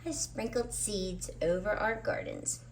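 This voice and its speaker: A woman's voice: mid-range voice, expressive, measured pace, a little hiss or hum, low-quality recording. A woman hosting story time, narrating a children's book expressively with dramatic emphasis on words. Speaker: children's story reader and host.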